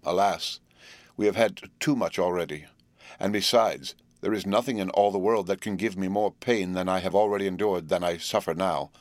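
A man voicing a brave, sorrowful young woman who speaks quietly, earnestly and with resolve. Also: low voice, normal speed, no room sound, close to an everyday voice. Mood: resolute.

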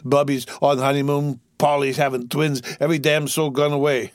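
A man's voice: fairly high pitch, flat delivery, moderate pace, no room sound, clean studio sound, natural voice. A man voicing a drunk, merry rich young man of about eighteen, with slurred, laughing speech. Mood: tipsy.

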